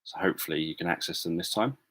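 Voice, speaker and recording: A middle-aged man chatting casually on a livestream, answering viewers in a soft, gentle way with many fillers and restarts. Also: low-pitched, fast, no room sound. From England. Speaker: livestream host. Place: home room.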